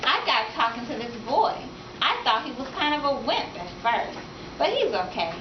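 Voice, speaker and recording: A woman voicing a cheerful, outspoken, warm-hearted young girl, chatty and childlike, in a storybook read-aloud. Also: high voice, very animated, normal speed. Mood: chatty, frank. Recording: echoey room from far, noisy, dull.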